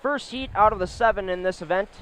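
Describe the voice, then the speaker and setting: A man calling a swim meet live as play-by-play commentator, with measured announcer phrasing. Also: high voice. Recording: steady clear background venue noise. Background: swim meet ambience.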